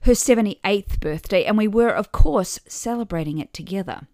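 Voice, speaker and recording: A woman's voice: medium pitch, moderate pace, a little mellow, no room sound. A middle-aged woman reading a scripted first-person story with wry, dry humour. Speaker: podcast author and narrator.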